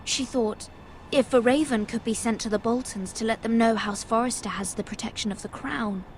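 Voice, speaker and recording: A woman's voice: a little high, moderate pace, slight background noise, close to an everyday voice. An actress playing an earnest, anxious young lady-in-waiting in a video game, pleading in formal, deferential speech. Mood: apologetic. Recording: faint music behind the voice.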